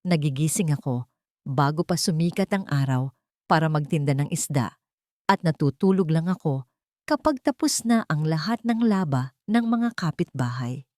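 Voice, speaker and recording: A woman reading a scripted first-person sentimental story in a measured, dramatic storytelling manner. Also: low-pitched, a little mellow, dry recording, clean studio sound.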